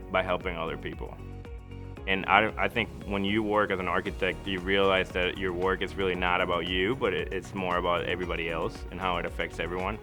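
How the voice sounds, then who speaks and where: Man from Costa Rica, very low voice, flat intonation, earnest, reflective, interview testimonial. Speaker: architect. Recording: music behind the voice. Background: background music.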